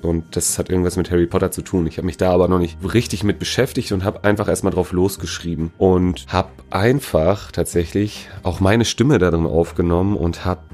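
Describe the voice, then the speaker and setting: A man's voice: deep voice, warm tone. A man working as a musician answers interview questions in a casual, slangy, joking and teasing way. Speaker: musician and sound designer being interviewed.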